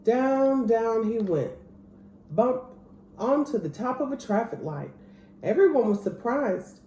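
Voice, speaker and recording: A woman reading a children's picture book aloud as an expressive storyteller. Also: on the high side, takes it slowly. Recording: roomy echo, clear background noise.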